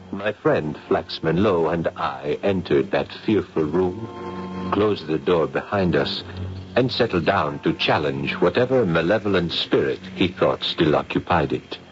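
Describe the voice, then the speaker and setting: An actor playing a grave gentleman narrator in a 1970s radio drama, telling a ghost story in a solemn way. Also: sits low, expressive, measured pace. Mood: solemn, foreboding. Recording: muffled vintage radio broadcast. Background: music.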